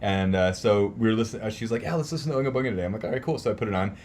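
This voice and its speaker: A man's voice: on the low side, very fast, a little mellow, slight background noise. A man co-hosting a casual podcast, talking in an emphatic, slangy, opinionated way with some swearing. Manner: profane, emphatic slangy ranting. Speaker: podcast co-host; teacher.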